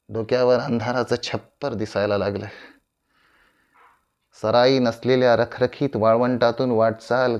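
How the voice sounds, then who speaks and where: A man acting a proud, aging veteran stage actor in a theatrical monologue, grandiloquent and deliberate, with dramatic pauses and repeated phrases. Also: lowish voice, vocal fry, a little mellow, dull audio. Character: proud, aging veteran Marathi stage tragedian addressing his audience.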